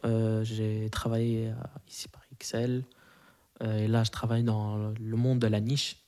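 A man's voice: lowish voice, flat delivery, unhurried, warm tone. A man in his twenties, a native Arabic speaker, answering interview questions casually with many fillers and restarts. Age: 20s.

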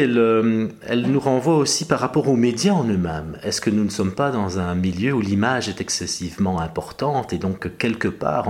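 A man's voice: lowish voice, darkish tone. A man who is a workshop participant, speaking thoughtfully and often searching for the right word.

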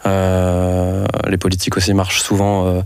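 A man's voice: low voice, completely flat delivery, normal speed, clean tone, no room sound. A young man from France, a festival organizer speaking as a radio interview guest, hesitant with many restarts. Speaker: festival organizer and network coordinator.

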